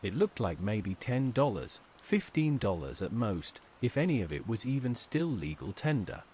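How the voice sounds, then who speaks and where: A man's voice: on the low side, sing-song, moderate pace, a little mellow, slight background noise. A man reading a scripted first-person horror story as its narrator.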